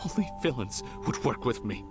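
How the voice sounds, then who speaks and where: A man's voice: mid-range voice, expressive, brisk, close to an everyday voice. A man playing a bitter scorned potion maker. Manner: sneering, resentful. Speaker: game character. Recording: game audio with music behind.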